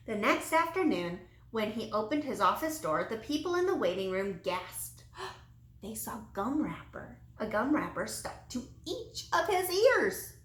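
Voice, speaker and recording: A woman reading a children's storybook aloud in an expressive, animated way with dramatic emphasis. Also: a little high. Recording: roomy, echoey sound.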